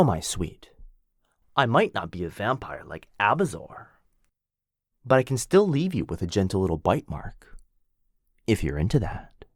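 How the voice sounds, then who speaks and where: Man doing a flirty male werewolf, teasing, possessive, low-pitched, unhurried, dry close sound. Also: slightly rough, dry recording, heavily acted.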